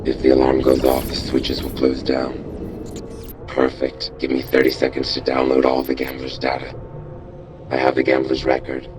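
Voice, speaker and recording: Mysterious robot informant, rock-bottom pitch, totally flat, measured instructions, game cutscene. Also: male voice, background noise. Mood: cool, instructing. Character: mysterious male robot informant, secretive, cool and controlled. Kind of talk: video game character acting.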